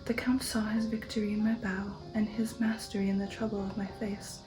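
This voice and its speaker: A woman narrating a novel aloud in a soft, partly whispered ASMR style, measured and careful. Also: medium pitch, little pitch movement, music in the background, rough recording.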